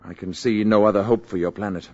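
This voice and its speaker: An actor playing a calm, grave alien visitor in human guise, measured and solemn, in a 1950s radio drama. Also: male voice, on the low side, dull audio, lightly acted. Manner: measured, solemn, urgent at times. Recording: old radio broadcast recording.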